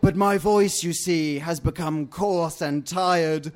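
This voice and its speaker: A man's voice: slow, soft background music. Man voicing a scratchy, imperious giant duck queen. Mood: demanding. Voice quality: scratchy, coarse, rumbling. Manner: grandiose, commanding rhymed speech. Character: huge imperious female duck tyrant, boastful and menacing.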